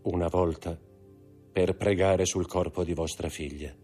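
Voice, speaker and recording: A man voicing an implacable avenging count, slow and solemn, his husky low voice lifted a little from the narration. He sounds cold and unhurried, weighing every word. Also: steady tone, mellow, faint music behind. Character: calm, implacable avenging count disguised as a priest.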